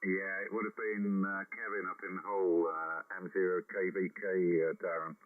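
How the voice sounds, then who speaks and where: A man with a very deep voice on a ham radio contact, talking unhurried with many fillers through a headset mic. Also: little pitch movement. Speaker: ham radio operator calling in. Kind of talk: casual radio contact chat. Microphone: headset microphone. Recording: tinny radio audio.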